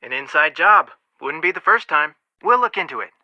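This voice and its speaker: A man's voice: fairly high pitch, animated, moderate pace, dry recording, dull audio, lightly acted. An actor voicing a level-headed, protective young male amateur detective in a video game, speaking in an earnest, matter-of-fact way with dry wit. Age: young adult.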